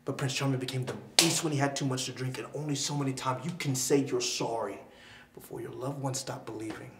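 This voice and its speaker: A man performing spoken word poetry with a dramatic, deliberate delivery, using pauses and emphasis. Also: mid-range voice, steady tone. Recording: roomy echo with faint background noise.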